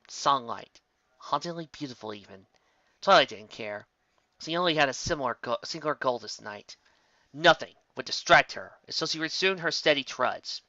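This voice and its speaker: A narrator reading a fan story aloud in an expressive way that leans into emphatic words. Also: medium pitch, dry recording. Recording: dull lo-fi recording.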